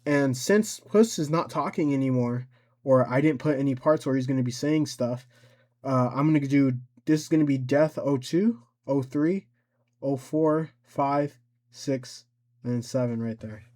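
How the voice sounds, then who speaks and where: A man giving a casual software tutorial, rambling and thinking aloud as he works through each step. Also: mid-range voice, unhurried. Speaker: tutorial presenter.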